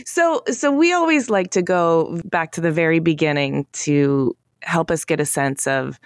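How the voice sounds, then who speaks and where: A woman hosting an interview podcast, who reads a scripted introduction and then asks questions in an upbeat manner. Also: on the low side. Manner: upbeat, with easy laughter. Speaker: podcast host. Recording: music bed behind voice.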